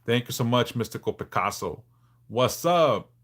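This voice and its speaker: A man in his forties from Southern California, hosting a casual livestream and answering viewers in a frank, laid-back way with halting restarts. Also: mid-range voice, expressive. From Los Angeles, California. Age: 40s. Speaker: livestream host.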